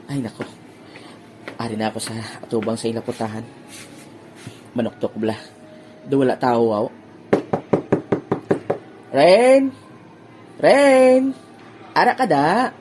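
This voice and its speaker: A woman's voice: extremely low pitch, lively intonation, takes it slowly, pauses often, background noise. A woman acting a lovesick part in an amateur audio drama, playful and teasing, then heartbroken and pleading.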